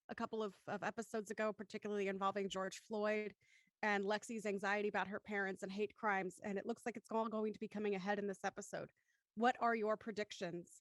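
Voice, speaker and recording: A woman with a slightly high voice works as a show host, delivering scripted narration and introductions. The recording is dry, with very little room around the voice. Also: a bit bright, no echo. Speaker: host of a live-streamed audio drama show. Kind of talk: scripted recap and introductions, presenting.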